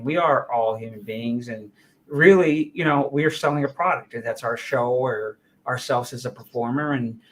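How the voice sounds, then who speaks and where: Encouraging man hosting an interview. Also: medium pitch. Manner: encouraging, repeats words and restarts often. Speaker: talk show host. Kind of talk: casual interview.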